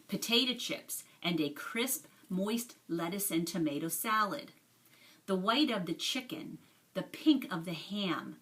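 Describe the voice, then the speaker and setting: A woman narrates a children's book at a slow, deliberate pace. Her voice is bright and expressive, picked up from slightly away from the microphone. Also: lowish voice, distant mic. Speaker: storybook reader.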